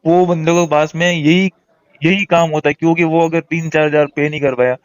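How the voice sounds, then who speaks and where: A man's voice: on the high side, steady tone, brisk, clean tone, dry recording. A man speaking as a borrower in a heated phone call, pleading and insistently repeating himself. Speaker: borrower on a debt collection call.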